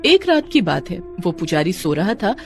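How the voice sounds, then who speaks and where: A woman narrating a cartoon story in an expressive storytelling manner. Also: lowish voice, moderate pace. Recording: background music under voice.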